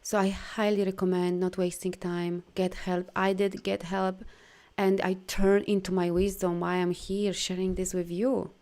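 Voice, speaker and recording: A woman's voice: lowish voice, flat delivery, a little mellow. Earnest woman with a Polish accent. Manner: earnest, heartfelt, halting non-native phrasing. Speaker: life coach. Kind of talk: live video talk.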